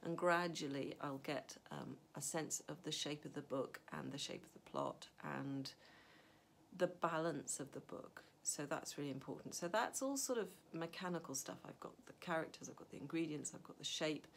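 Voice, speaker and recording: Low, gravelly-voiced woman giving writing advice. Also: normal speed, slight background noise. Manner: chatty, thinking aloud with fillers and restarts. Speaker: novelist. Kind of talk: casual advice talk to camera. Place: home study with a map on the wall.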